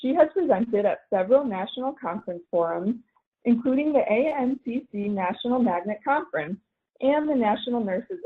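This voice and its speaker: Woman moderator on a phone line. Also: mid-range voice. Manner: formal, reads from a script. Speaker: webinar moderator. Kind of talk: scripted announcements.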